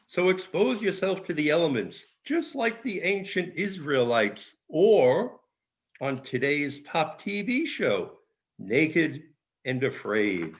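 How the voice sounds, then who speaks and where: Guy doing a jokey boastful holiday character for community theater, takes it slow, swingy pitch, hammy. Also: big pitch swings, heavily acted. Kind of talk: amateur reader's theater.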